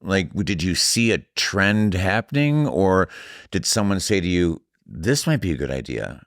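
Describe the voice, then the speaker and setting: A man's voice: deep voice, sing-song, moderate pace, no echo, clean studio sound. A man hosting a casual bar podcast interview, teasing his guest and steering the talk along. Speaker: podcast host.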